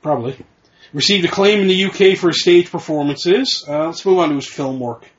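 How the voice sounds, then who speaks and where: A man with a slightly high voice hosts a podcast in a loose, casual chat, reading out lists from his notes and correcting his own slips as he goes. He rambles a bit and laughs easily at himself. The recording is muffled and lo-fi. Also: brisk. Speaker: podcast host.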